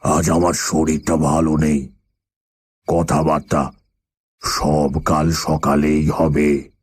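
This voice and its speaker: A man's voice: rumbling low, level tone, a little mellow, breathy edge, exaggerated voice. A man playing a gaunt, irritable old landlord in a radio horror drama, with a thick, hollow voice and a curt manner. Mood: brusque, evasive. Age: older. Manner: curt, brusque, drawn-out delivery. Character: gaunt, decrepit old zamindar, irritable and sinister.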